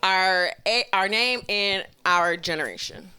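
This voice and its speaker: A woman of thirty opening a discussion show as host, upbeat and quick. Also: medium pitch, sharp tone. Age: 30s.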